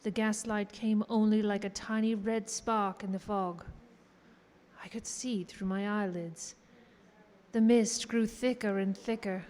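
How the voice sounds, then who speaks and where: An actress playing a haunted young wife under a vampire's spell, dreamy and dramatic, in a radio horror drama. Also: female voice, mid-range voice, steady tone, unhurried, a little mellow, natural voice. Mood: eerie, uneasy. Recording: long hall reverb, faint noise.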